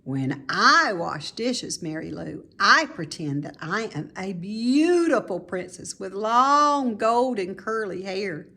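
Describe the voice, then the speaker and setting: Woman voicing a playful, animated storybook lady. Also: medium pitch, slow, hardly any pauses, exaggerated voice. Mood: dramatic make-believe. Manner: animated, emphatic, savouring her words. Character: cheerful, playful grown woman who delights in housework make-believe.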